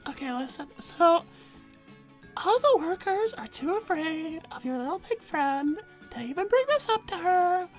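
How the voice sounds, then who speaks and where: A woman's voice: extremely high pitch, big pitch swings, unhurried, soft background music, full character voice. A woman playing a stern, no-nonsense mother, sardonic and blunt, in an improvised character livestream. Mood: stern, impatient. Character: Davina, stern no-nonsense exasperated mother collecting money.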